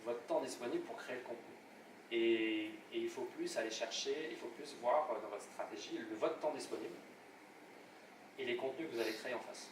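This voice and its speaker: Fast-talking man in echoey room. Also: medium pitch. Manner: rapid, hesitant, many restarts. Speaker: workshop speaker on LinkedIn. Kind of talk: live workshop talk. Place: meeting room at a club. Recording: echoey room, distant mic, noisy.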